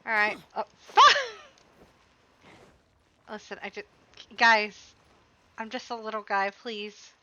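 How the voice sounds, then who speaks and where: Bright-voiced woman muttering through a game. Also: mid-range voice, fairly even intonation, slow pace, pauses often. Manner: muttering to herself, rambling and playful. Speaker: streamer. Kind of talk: casual gameplay commentary.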